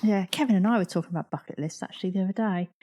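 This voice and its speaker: A woman's voice: mid-range voice, rapid-fire, mellow. A woman in her forties, co-hosting a podcast in casual chat, chatty and laughing often. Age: 40s. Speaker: podcast co-host.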